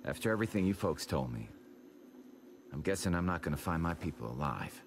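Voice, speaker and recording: An actor playing a reasonable, probing survivor in a video game drama, level-headed and resigned. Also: male voice, extremely low pitch, animated, a little fast, a little hiss or hum.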